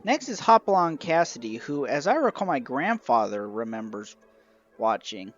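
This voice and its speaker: Young adult man, mid-range voice, measured scripted show-and-tell narrator, light music underneath. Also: medium pitch, sing-song, lo-fi sound. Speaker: collector reviewing a radio show collection.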